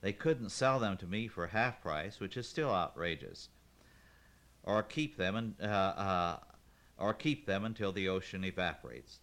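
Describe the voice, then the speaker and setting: A man's voice: low-pitched, a little slow, a bit bright. A man voicing a huffy, indignant teenage girl in a read-aloud story.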